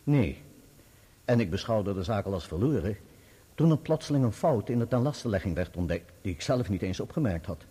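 A composed barrister on the witness stand in a radio drama. He explains things candidly and formally in a low, dark voice, rising and falling freely. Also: expressive, fairly quick, breathy edge. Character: composed, candid male barrister giving testimony. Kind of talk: radio play courtroom acting.

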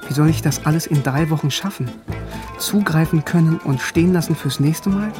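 An actor playing a bookish young East German philosopher in a radio drama, narrating and talking with wry, musing irony. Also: male voice, a little high, brisk, over music, lightly acted.

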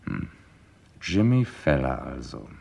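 An actor playing a calm, courteous, methodical chief inspector in a German radio crime play, speaking in a measured, musing way. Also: male voice, a bass voice, gravelly, husky, heavily acted. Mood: businesslike, pensive.

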